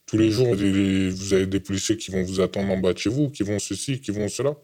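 Young man, very low pitch, halting interviewee who keeps repeating words. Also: steady tone, warm tone. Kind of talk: testimony interview. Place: hidden from camera.

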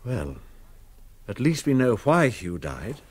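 A man's voice: mid-range voice, very animated, slow, a little mellow. A man playing a courteous, thoughtful investigator with dry humour in a radio drama, speaking politely and a little properly. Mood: concerned. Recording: noisy radio drama recording.

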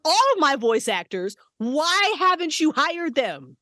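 A woman's voice: sits high, a little slow, no echo, studio quality. A woman in her forties, a podcast co-creator, chatting casually as a guest, swearing freely and laughing often. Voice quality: profane, animated, laughing often. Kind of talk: casual chat.

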